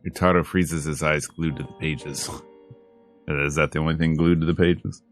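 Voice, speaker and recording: A man's voice: very deep voice, fairly even intonation, normal speed. A man reading a visual novel aloud as a streamer, dramatic in delivery with wry, joking asides, over game music. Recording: music behind the voice.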